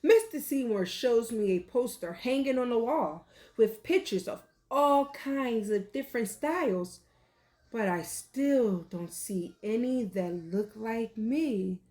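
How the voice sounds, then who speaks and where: A woman reading a picture book aloud like a teacher, with animated, deliberate delivery and questions for young listeners. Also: on the high side. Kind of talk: expressive children's read-aloud with questions to listeners.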